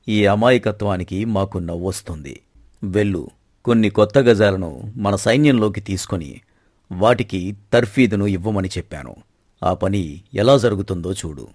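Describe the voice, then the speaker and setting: A man playing a stern, proud king and father in a dramatized folk story, speaking formally and with measured authority. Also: lowish voice, darkish tone, dry recording, clean studio sound. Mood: scornful. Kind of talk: dramatized moral story acting.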